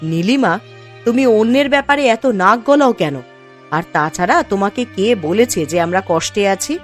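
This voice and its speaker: A woman's voice: mid-range voice, animated, moderate pace, soft background music, natural voice. A woman voicing a dignified, principled village wife in a cartoon, firm and admonishing. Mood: firm, reproving. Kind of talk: cartoon story dialogue.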